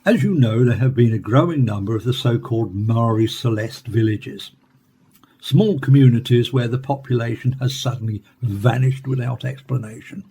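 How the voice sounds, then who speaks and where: An actor playing a measured academic professor in a radio play, speaking formally and deliberately. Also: male voice, medium pitch, gravelly, mellow, slight background noise, natural voice. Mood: earnest, explanatory. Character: measured male Cambridge professor explaining alien attacks. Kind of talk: radio play acting as interviewed expert.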